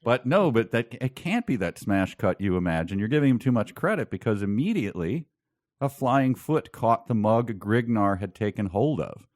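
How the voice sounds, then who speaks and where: Low-voiced man, mock-incredulous, close dry sound. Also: animated, moderate pace, a little mellow, no echo. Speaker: podcast co-host. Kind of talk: casual comedic commentary, reading prose excerpts aloud.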